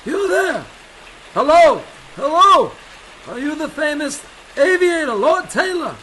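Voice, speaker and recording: A man playing an eager, polite, excitable young airfield worker in old-time radio style. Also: very high voice, takes it slowly, slight background noise, exaggerated voice, low-quality recording. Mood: excited. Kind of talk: 1940s radio acting.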